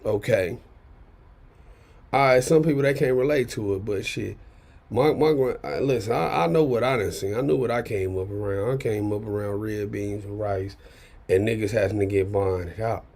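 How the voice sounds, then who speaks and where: A man with a raspy baritone ranting in casual commentary, blunt and profane, driving points home with repeated "okay?". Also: normal speed, a little mellow. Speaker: commentary channel host.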